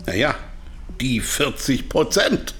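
An actor playing a proud, weary doctor held captive, speaking in a deliberate, pointed way in a radio drama. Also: male voice, medium pitch, very animated, a bit bright, soft background music. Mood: defensive.

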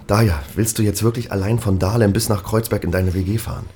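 A man's voice: fairly low pitch, steady tone, brisk, a little mellow, slight background noise, natural voice. A middle-aged man playing a worried, protective family friend in a naturalistic German audio drama, earnest and hesitant in manner. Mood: worried, pleading.

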